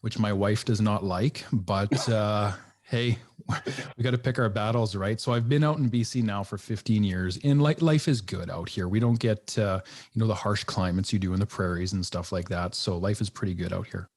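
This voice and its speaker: A middle-aged man from northern Alberta, a retired police officer, talking calmly and reflectively as a podcast guest. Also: lowish voice, moderate pace. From northern Alberta, Canada. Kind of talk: casual podcast chat.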